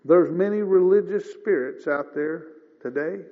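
A man in his fifties preaching a sermon in a folksy, conversational way, often clearing his throat. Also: high voice, measured pace. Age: 50s. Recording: dull lo-fi sound with long reverb.

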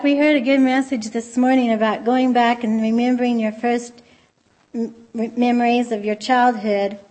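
A soft-spoken woman speaks to a congregation in a gentle, conversational way. Her voice sits a little high, and she now and then stops to restart a thought. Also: rough recording. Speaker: church speaker. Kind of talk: informal sermon.

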